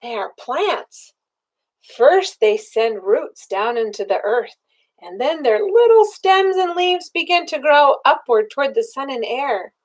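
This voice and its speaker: An older woman reads a children's storybook aloud in a high voice that rises and falls widely. She takes her time over the words, like a grandmother with a small listener. Her delivery is expressive and child-directed, with simple questions woven into the reading. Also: unhurried, rough recording.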